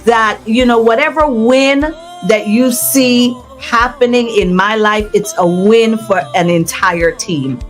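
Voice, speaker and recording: A woman's voice: on the high side, slow pace, few pauses. Gospel singer lady being interviewed, earnest testifying talk, laughs easily, faint music under it. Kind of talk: conversational interview.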